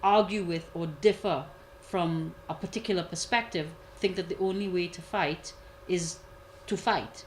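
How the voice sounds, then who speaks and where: A woman commentator giving a measured analysis, repeating key points for emphasis. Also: lowish voice, slight background noise, rough recording. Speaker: guest commentator. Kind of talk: analytical discussion.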